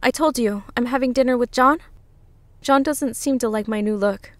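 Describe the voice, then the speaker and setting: A woman playing a soft-mannered young woman who is eerily probing, in a comic dub. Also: a little high, moderate pace, dry recording, lightly acted. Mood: coy.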